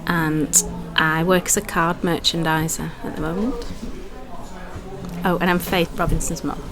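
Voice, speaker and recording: A woman's voice: low voice, flat delivery, a little slow. Chatty woman in her forties over music. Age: 40s. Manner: chatty, with fillers. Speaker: interviewee, mother. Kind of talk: verbatim interview answers.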